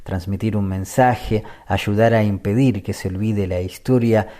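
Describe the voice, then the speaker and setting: A man narrating a radio podcast feature in a measured, scripted read. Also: fairly low pitch, fairly even intonation, mellow. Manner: measured, read-aloud delivery.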